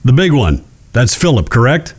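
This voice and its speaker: A weary, irritable frontier judge, played by a man in a Western audio drama. He drawls deliberately, with a lively melody and dry condescension. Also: medium pitch, husky. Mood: dry, courteous. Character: weary, irritable, sardonic Old West circuit judge.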